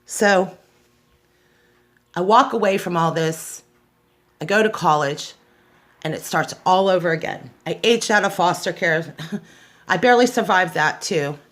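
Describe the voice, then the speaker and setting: A woman's voice: fairly low pitch, measured pace, long pauses, fairly bright tone. Outspoken, aggrieved American woman. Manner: outspoken, aggrieved, emphatic, repeating phrases for stress. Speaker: host of a personal awareness show. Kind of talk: unscripted personal monologue, testimonial.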